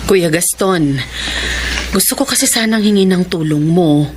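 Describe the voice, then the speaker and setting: A woman's voice: fairly low pitch, normal speed, natural voice. A woman playing a strict, worried middle-aged mother in a Filipino drama, fretful and emphatic. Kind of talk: Filipino audio drama acting. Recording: faintly noisy amateur recording.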